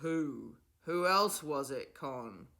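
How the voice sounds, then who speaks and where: A woman voicing a stern male academy professor who bursts into shouting rage. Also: low-pitched, expressive, slow, vocal fry, exaggerated voice. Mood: curt. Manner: clipped, then shouting in rage.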